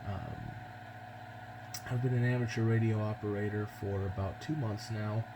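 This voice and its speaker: A man with an American accent and a fairly low voice talks over loud background noise. He speaks as an amateur radio operator making casual contact, polite and a bit hesitant in his phrasing. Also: steady tone, normal speed. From United States.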